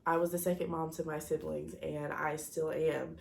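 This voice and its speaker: A woman's voice: low voice, little pitch movement, normal speed, vocal fry, a little hiss or hum. A woman in her twenties talking casually to her viewers, a bit stuffy from a cold, rambling and often correcting herself as she searches for words. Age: 20s. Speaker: vlogger. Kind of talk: casual personal talk to camera.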